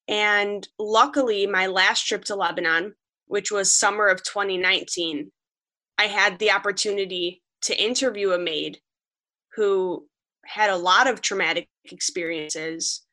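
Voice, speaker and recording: A woman's voice: medium pitch, fairly even intonation, a little slow, bright, forceful tone. A young woman, a freelance journalist from Western New York, earnestly recounting her reporting as a podcast guest. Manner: earnest, vivid storytelling, quoting others. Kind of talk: podcast interview, storytelling.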